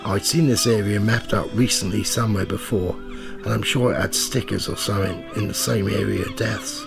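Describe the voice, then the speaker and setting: A man's voice: lowish voice, wildly swooping pitch, normal speed, creaky, music in the background, exaggerated voice. A man acting a cynical, smug tabloid reporter in a radio play, with a sardonic, goading manner. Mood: wry, scheming. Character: cynical, smug male tabloid reporter narrating his own investigation. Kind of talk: radio play acting with first-person narration.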